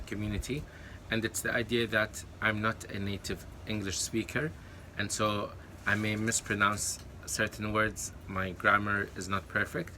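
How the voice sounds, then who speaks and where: Bright-voiced man, full of fillers. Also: on the low side, slight background noise. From Kuwait. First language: Arabic. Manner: hesitant, many fillers and self-corrections; encouraging. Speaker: YouTube creator. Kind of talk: casual advice to camera.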